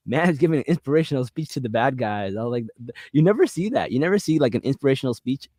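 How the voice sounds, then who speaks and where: A man hosting a podcast in casual chat, his voice hoarse and raspy from a cold, joking and laughing between remarks. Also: medium pitch, animated, fast, darkish tone, dry recording, studio quality. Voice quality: raspy, slight vocal fry. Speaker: podcast host.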